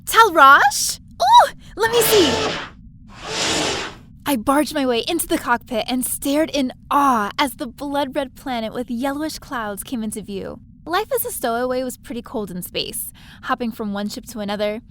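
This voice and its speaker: Woman playing a breezy, high-voiced space stowaway, noisy backdrop. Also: big pitch swings, unhurried, pushed and bright, full character voice. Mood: excited. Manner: chatty, breezy, eager. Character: breezy, curious young woman stowaway narrating her space adventure. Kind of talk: first-person audio drama narration and dialogue.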